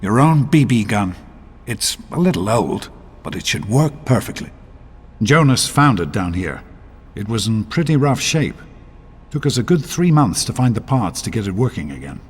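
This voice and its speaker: An actor playing a gentle, steady middle-aged father in a video game, speaking in a calm, measured, protective way. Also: on the low side, breathy edge, in a large hall. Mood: warm, encouraging.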